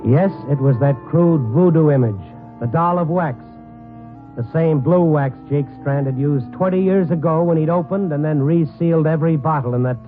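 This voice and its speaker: An actor playing a grim, wry newspaper reporter who narrates a noir radio drama in a brooding, clipped, dramatic way. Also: male voice, a little high, close to an everyday voice. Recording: tinny 1950s radio broadcast recording.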